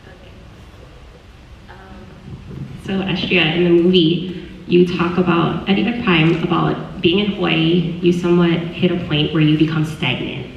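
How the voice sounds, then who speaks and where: A woman moderating a Q&A, reading guest introductions and asking questions politely, a little halting. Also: lowish voice, level tone, vocal fry, a little hiss or hum. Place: large hall at a film screening. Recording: echoey hall from far off, dull.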